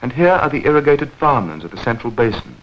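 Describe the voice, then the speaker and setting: A man narrating a 1940s educational newsreel in a measured, clearly enunciated, explanatory manner. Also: medium pitch, sing-song, a bit bright. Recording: dull vintage 1940s film soundtrack.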